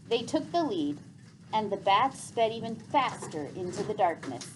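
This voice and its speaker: Animated woman reading a story aloud. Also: a little high, a little hiss or hum, low-quality recording. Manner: animated, deliberate read-aloud delivery. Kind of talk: expressive scripted story narration.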